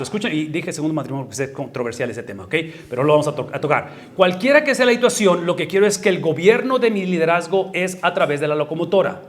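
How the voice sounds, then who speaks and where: Emphatic pastor teaching. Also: male voice. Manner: conversational, asks for agreement often; swings from loud emphatic peaks to low asides. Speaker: pastor teaching a leadership session. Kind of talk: sermon-style Bible teaching to a live group.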